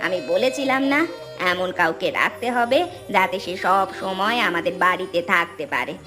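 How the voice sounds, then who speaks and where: Woman acting as ailing village wife, lowish voice, demanding, complaining, insistent, cartoon dialogue, music behind. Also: moderate pace, pushed and bright. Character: moneylender's ailing, demanding but fair wife. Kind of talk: Bengali cartoon story dubbing.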